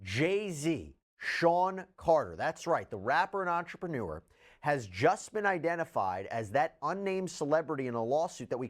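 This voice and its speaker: An articulate male news host with a medium-pitched voice delivers scripted legal commentary. He stresses key words and reads formal passages clearly and deliberately.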